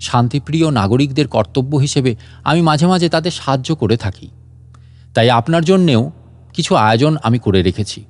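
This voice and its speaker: Man playing a sly, mock-polite gentleman inventor, animated. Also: medium pitch, normal speed, close to an everyday voice. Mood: smug. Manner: mock-courteous, teasing.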